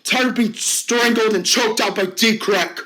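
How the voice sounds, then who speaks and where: A bright, high-pitched middle-aged man at the end of his rope, yelling and breaking into sobs, as a man acts him out. He drags his words slowly, shouting through tears in an amateur drama. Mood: sobbing. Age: 50s. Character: bullied fifty-year-old man, desperate and tearful.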